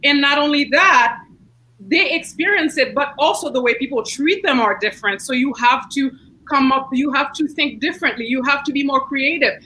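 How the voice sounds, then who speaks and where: Haitian-accented woman, bright and earnest. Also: high-pitched, normal speed, slight background noise, rough recording. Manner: earnest, thoughtful, restarts often. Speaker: city council member. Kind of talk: online book discussion. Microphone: video call.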